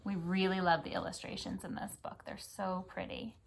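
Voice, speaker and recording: An American woman reading a picture book aloud for children and chatting gently, often prompting a young child with questions. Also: mid-range voice, flat delivery, moderate pace, creaky, background noise. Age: adult. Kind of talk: children's story read-aloud with gentle chat.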